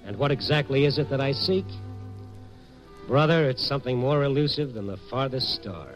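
An actor playing a wry, streetwise American reporter, narrating a 1950s radio noir drama in dramatic style over a musical score. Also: male voice, mid-range voice, normal speed, soft background music, lightly acted. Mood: brooding, wry. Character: hard-boiled, wry male Chicago night-beat newspaper reporter. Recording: vintage 1950s radio broadcast recording.

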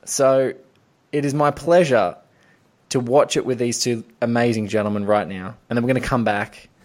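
A man's voice: medium pitch, steady tone, moderate pace, a little mellow. A man hosting a film podcast in a giddy, effusive, emphatic way. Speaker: podcast host. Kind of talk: enthusiastic film podcast introduction.

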